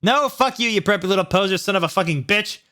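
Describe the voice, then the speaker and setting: A man acts a surly young goth vampire lad from a spoof storybook. The voice is pushed up high, with a sneering, sweary, exclaiming manner. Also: fast, bright, forceful tone. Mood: contemptuous. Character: surly, foul-mouthed goth vampire teenage boy.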